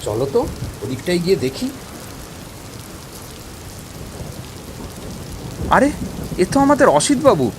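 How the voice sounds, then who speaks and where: Worried villager, lively pitch. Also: male voice, quick pace, noisy background. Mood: urgent concern. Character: worried, kindly, poor village man. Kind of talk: Bengali cartoon dialogue.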